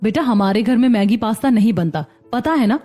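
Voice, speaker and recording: A woman's voice: a little high, animated, brisk, warm tone, faint music behind. A woman playing a firm, practical, admonishing mother in a cartoon story. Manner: admonishing, instructive. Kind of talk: Hindi cartoon story dialogue.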